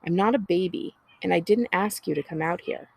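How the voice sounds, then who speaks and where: A woman's voice: fairly low pitch, fairly quick, vocal fry, a little mellow, rough recording. A woman reading a storybook as a defiant, anxious younger sister of about eleven, earnest and indignant. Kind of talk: children's storybook character reading.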